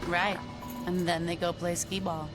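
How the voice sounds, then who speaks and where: A woman's voice: fairly low pitch, level tone, measured pace, natural voice. Woman playing a flippant stoner gangster, music behind. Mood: teasing. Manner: casual, teasing. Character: flippant, laid-back young female stoner gangster. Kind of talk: video game cutscene acting.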